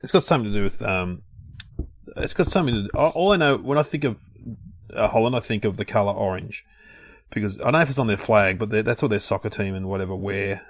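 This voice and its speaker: A man's voice: lowish voice, expressive, quick pace, no room sound. A man in his fifties co-hosting a podcast, chatting casually in an easygoing, musing way. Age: 50s. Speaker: podcast co-host. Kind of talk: casual chat.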